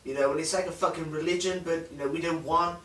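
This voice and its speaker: A man playing a profane, bullying spoiled son of a crime boss, in a dark comic drama. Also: a little high, steady tone, fast, lightly acted. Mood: low, confiding. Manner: profane, aggressive, ranting. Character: foul-mouthed bullying spoiled heir of a crime boss father. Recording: roomy echo, distant microphone.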